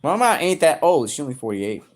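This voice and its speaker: A man in his early thirties livestreaming, reading chat aloud and joking crudely, with sudden loud outbursts. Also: fairly high pitch, sing-song, a little fast. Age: 30s.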